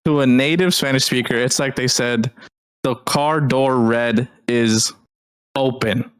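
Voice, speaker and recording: A man's voice: mid-range voice, fairly even intonation, unhurried, clear, resonant tone, rough recording. A man co-hosting a casual podcast chat, explaining points in a laid-back, agreeable way. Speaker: podcast co-host.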